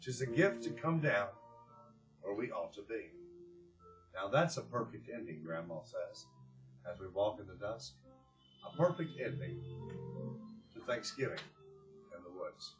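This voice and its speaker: A man reading aloud and hosting for children, with expressive, drawn-out phrasing and a gentle, encouraging way of addressing them. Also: on the low side, over music, rough recording. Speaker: children's story reader and host.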